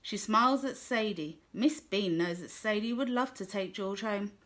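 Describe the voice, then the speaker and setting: A woman reading a children's picture book aloud in a gentle, clear storytelling manner. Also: medium pitch, moderate pace. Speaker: storybook reader.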